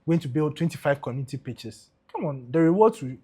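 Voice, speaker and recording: A young man with a Ghanaian accent chats about football in a loose, animated way, slipping into pidgin phrases. His voice sits a little high and carries a husky edge. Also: fairly quick. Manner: animated, mixes in pidgin, laughs often. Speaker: podcast co-host. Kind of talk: casual football chat.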